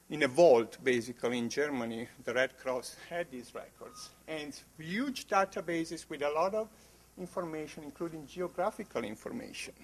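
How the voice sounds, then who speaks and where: Man, Italian accent, academic talk, halting with fillers, fairly high voice. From Italy. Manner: halting, many fillers and restarts. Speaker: conference presenter. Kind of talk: academic presentation.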